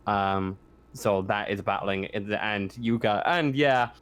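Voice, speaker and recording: A man running a tabletop game as game master, narrating casually in a halting, stammering way with frequent backtracking. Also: on the low side, a bit bright, clear, resonant tone.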